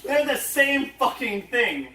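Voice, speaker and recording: Male comedic panel host, high voice, sweary mock-ranting, recorded far from the mic. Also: big pitch swings, takes it slowly, bright, forceful tone, slight background noise. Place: convention panel room. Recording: echoey room, far from the mic.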